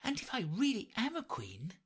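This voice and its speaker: A woman's voice: a little high, huge pitch swings, moderate pace, husky, voice pushed up, full character voice. A woman voicing a polite, earnest young girl with a slightly timid manner. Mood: earnest girlish.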